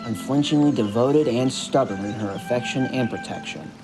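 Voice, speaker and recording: A man's voice: medium pitch, moderate pace, rough recording. A man narrating a scripted story for an audio drama. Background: music.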